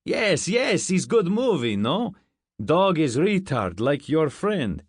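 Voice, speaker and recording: A man's voice: fairly high pitch, sing-song, slow pace, husky, no room sound, studio quality, voice pushed up, full character voice. A man voicing a fat, jovial, crude Russian guard in a story, with a thick Russian accent and blunt, broken English. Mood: eager, friendly.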